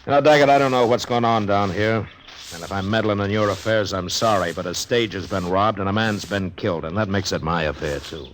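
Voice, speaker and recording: Man playing a deep-voiced, stern frontier marshal. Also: fairly quick, slight background noise, natural voice. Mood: firm, frank. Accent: American. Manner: terse, plainspoken, authoritative. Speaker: lead character and narrator. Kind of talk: 1950s Western radio drama acting and narration.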